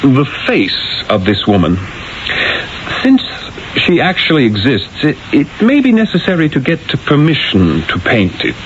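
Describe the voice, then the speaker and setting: A man's voice: mid-range voice, wildly swooping pitch, moderate pace, slight background noise. An actor playing a sly, persuasive Renaissance painter telling his tale in a theatrical 1970s radio drama style. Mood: smooth persuasion. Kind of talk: 1970s radio drama acting and first-person narration. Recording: muffled old radio broadcast with music.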